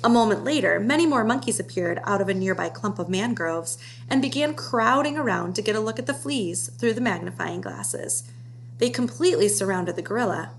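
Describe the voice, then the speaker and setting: A woman reads a children's story aloud in a medium-pitched voice. She is the storybook narrator. A clear hum and background noise run under her reading. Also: poor audio. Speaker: storybook reader.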